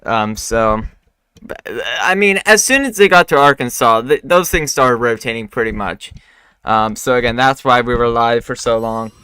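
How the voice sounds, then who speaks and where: A man's voice: medium pitch, moderate pace, pushed and bright, clear, resonant tone, no room sound. A man hosting a live weather forecast stream, talking casually with many fillers and restarts, his voice still recovering after he lost it. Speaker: weather livestream host.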